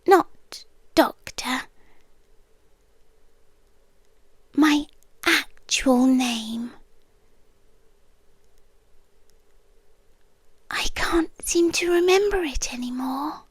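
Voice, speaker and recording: A British woman playing a tender, eerie, possessive doctor in a whispered ASMR roleplay, speaking softly and often dropping into a whisper. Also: sits high, no echo, clean studio sound. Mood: sad, vulnerable. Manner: soft, hushed, unhurried, often whispering. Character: eerie, tender, possessive female doctor haunting an empty hospital.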